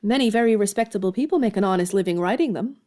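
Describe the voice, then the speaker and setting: Mellow, earnest young woman played by an actress. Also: medium pitch, fast talker, lightly acted. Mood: defensive. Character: proud, earnest young woman writer in period drama. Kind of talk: period audio drama acting.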